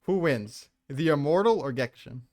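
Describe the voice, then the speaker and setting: Higher-voiced man, argumentative and profane. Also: expressive, normal speed, crowd noise. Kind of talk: casual debate, chat.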